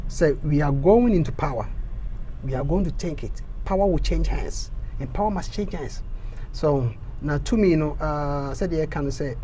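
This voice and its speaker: A man with a Ghanaian accent, a council candidate giving an impassioned interview, stammering through repeats and rising into loud preaching bursts. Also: on the high side, animated, moderate pace, a little hiss or hum, poor audio.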